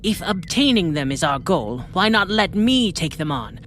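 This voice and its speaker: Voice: medium pitch, very animated, normal speed, fairly bright tone. An actor playing a proud, impatient warrior underling in an audio drama, formal and defiant. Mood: boastful. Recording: faint noise behind voice.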